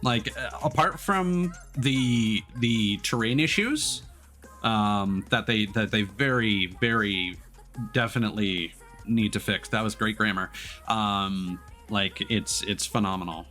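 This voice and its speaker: A man's voice: lowish voice, slow pace, pushed and bright. Sweary male streamer over game music. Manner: sweary, grumbling. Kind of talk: live gameplay commentary. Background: racing game music and engine sounds.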